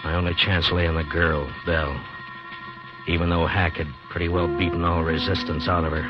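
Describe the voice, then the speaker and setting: Man acting a very deep, grim frontier marshal. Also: flat delivery, loud music behind the voice, natural voice. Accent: American. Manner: grim, deliberate, hard-boiled. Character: stoic, weary male frontier marshal narrating his ordeal. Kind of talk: 1950s radio drama narration and acting. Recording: dull 1950s radio recording.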